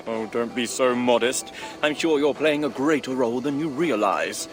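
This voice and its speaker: An actor playing a polite, gently gossiping medieval townsman in a video game, hesitant and insinuating. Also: medium pitch, measured pace, soft background music, close to an everyday voice. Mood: genial. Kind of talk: dramatic video game acting.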